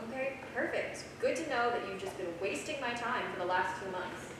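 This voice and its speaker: A woman's voice: on the high side, expressive, unhurried. A woman playing a hurt, direct and confrontational young woman in a staged script reading. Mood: angry. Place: small theater, recorded from the audience. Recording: distant, very echoey noisy theater recording.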